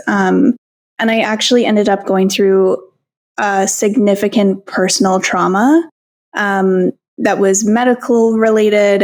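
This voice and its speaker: A woman's voice: medium pitch, darkish tone. A woman speaking as a podcast guest, open and thoughtful, with frequent ums. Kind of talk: casual interview conversation.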